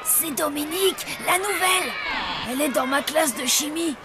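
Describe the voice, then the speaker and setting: A woman voicing an eager, excitable young zombie boy in a French children's cartoon. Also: high voice, moderate pace, over a noisy crowd, heavy background noise, lightly acted. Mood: exasperated. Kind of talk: French animated children's series dubbing.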